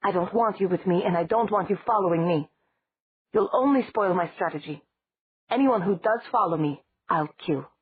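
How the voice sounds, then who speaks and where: A woman's voice: fairly low pitch, moderate pace, gravelly, long pauses, slight background noise, voice pushed up. A woman voicing a cold, scornful young vampire. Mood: furious. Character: brooding young male vampire, cold and furious. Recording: dry, tinny phone-like sound.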